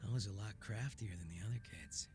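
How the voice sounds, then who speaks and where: An actor playing a cocky, laid-back former gang boss in a video game, teasing and sardonic with a languid drawl and casual swearing. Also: male voice, lowish voice, fairly quick, lightly acted. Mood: candid, boastful. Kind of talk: English video game voice acting.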